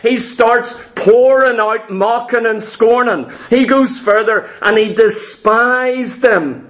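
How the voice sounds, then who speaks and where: A male preacher with a notably high voice delivers a sermon to his congregation. He drives each point home through repetition and addresses his listeners directly and emphatically. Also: takes it slowly, fairly bright tone. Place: live before a congregation in a room.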